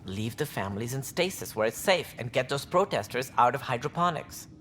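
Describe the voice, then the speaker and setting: A man's voice: medium pitch, animated, fairly quick, fairly bright tone, a little hiss or hum, lightly acted. Game bureaucrat, male actor: cautious colony director, cold and officious, with wide intonation. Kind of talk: video game cutscene acting. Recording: faint background ambience.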